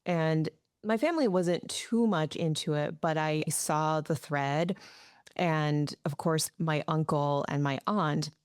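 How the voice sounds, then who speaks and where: A woman's voice: low voice, slow, darkish tone, clean tone, no room sound, studio quality. A woman from the United States hosting a podcast interview, talking through ideas thoughtfully. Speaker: podcast host. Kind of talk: casual interview chat.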